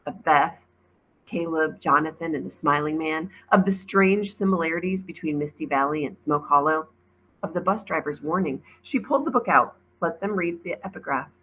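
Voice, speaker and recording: A woman reading a children's novel aloud as an expressive storybook narrator, like a teacher reading to a class. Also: low-pitched, moderate pace, slightly rough, poor audio.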